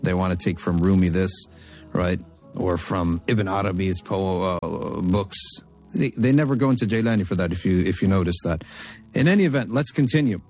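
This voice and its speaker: A man's voice: sits low, moderate pace, darkish tone, soft background music. A man teaching a religious history lecture, stressing his points by repetition and reading classical Arabic aloud before translating it line by line. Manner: emphatic, repeats points for effect.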